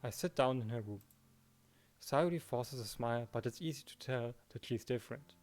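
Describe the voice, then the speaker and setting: A man's voice: medium pitch, normal speed, a little mellow. A man reading first-person visual novel narration and the protagonist's lines in a dramatized way, with music behind him.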